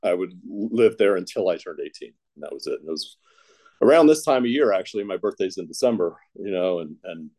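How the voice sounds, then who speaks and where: A man's voice: sits low, expressive, brisk, warm tone. A man from Ohio telling his own recovery story in a loose, rambling way, full of "you know" fillers and self-deprecating jokes. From Ohio, United States. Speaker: speaker sharing a recovery story. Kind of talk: casual personal storytelling.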